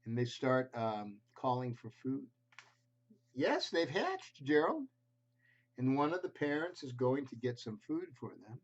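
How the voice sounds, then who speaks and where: A man reading a picture book aloud to young children on camera, adding gentle explanatory asides. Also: mid-range voice, normal speed, hardly any pauses. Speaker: children's storyteller. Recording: slightly muffled home video audio.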